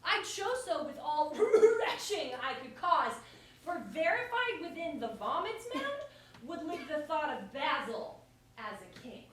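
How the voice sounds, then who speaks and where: A woman's voice: high-pitched, sing-song, unhurried. A woman playing a witty, defiant common-born representative in a staged verse comedy, with haughty, biting theatrical delivery. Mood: biting mockery. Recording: echoey room, far mic, faint noise.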